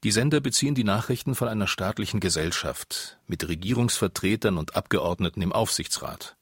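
A man's voice: on the low side, brisk, slightly rough, dry recording, studio quality. A man narrating a scripted radio documentary.

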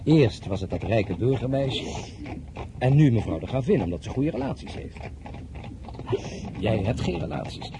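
A man's voice: medium pitch, sing-song, normal speed, long pauses, background noise. A man playing an earnest, blunt French general of thirty-one in a Dutch drama, courting in a direct, insistent way. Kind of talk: Dutch radio drama acting.

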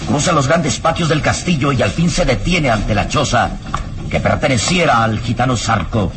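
An actor serving as the solemn, suspenseful narrator of an old radio serial. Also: male voice, medium pitch, lively intonation, normal speed, background noise, lightly acted. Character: solemn storyteller of suspense tales.

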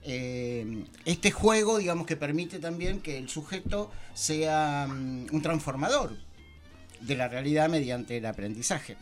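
An Argentine man with a fairly high voice hosts a conversational radio show. He talks informally and haltingly, feeling for his words, with music playing underneath. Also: expressive. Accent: Argentine Spanish. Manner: informal, halting, searching for words while theorizing. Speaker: radio host. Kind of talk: conversational talk-show discussion.

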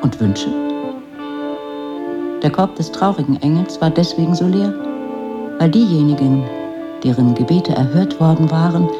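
A woman as the calm, reflective narrator of a radio play, reading scripted story passages. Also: deep voice, moderate pace, pauses often, lo-fi sound, natural voice. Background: plucked guitar music.

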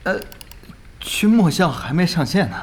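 An actor playing an eager, deferential gamer guild organizer in an audio drama, chatty and excitable. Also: male voice, high voice, unhurried, a little hiss or hum, heavily acted. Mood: eager, flattering.

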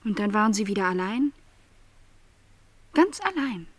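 An actress playing an earnest, lonely, vulnerable young housemaid in a literary radio drama, breathy and softly insistent as she questions. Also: mid-range voice, big pitch swings, measured pace, dry recording. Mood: anxious, intent. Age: young adult. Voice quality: breathy, often near a whisper.

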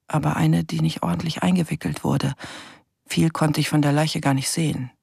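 A husky rookie policewoman in a radio drama. Her voice has plenty of creak and sits in a very dry recording. She narrates earnestly, as if confiding. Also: deep voice, a little mellow, no echo, exaggerated voice. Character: inexperienced, earnest young female police officer. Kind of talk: confiding radio play monologue.

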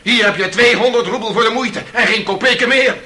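An actor playing a passionate, proud and anguished defendant in a radio drama, speaking theatrically between hush and outburst. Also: male voice, very high-pitched, fairly quick, exaggerated voice. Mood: bitter, agitated. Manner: theatrical, swinging from hushed to outburst. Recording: noisy old radio drama recording.

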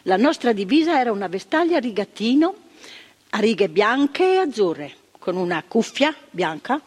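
A woman's voice: a little high, sing-song, moderate pace, slightly rough. An actress playing a former woman asylum nurse, telling her memories in a plainspoken stage monologue that repeats phrases for emphasis. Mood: sober. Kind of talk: theatrical monologue of remembered testimony. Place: theatre stage. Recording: long stage reverb, radio broadcast.

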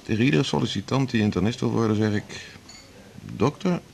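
A man's voice: medium pitch, fairly quick, gravelly, a little mellow, a little hiss or hum, natural voice. An actor playing a workaholic middle-aged male internist in a 1980s radio drama, talking in a wry, self-mocking, confiding way. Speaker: hospital internist and chief.

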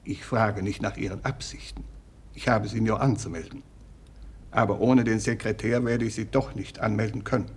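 An actor in a radio play playing a wary, stiff manservant with a formal, officious and slightly hesitant manner. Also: male voice, on the low side, fairly even intonation, normal speed, breathy edge. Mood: suspicious, officious. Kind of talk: 1950s German radio play acting.

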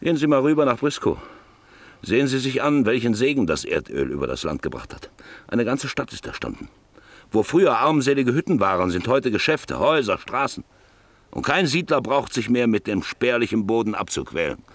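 An actor playing a hard, scheming landowner in a German radio play, brusque, sardonic and persuasive. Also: male voice, medium pitch, big pitch swings, brisk, no echo. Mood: scornful, persuasive. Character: hard, scheming landowner and father, scornful businessman.

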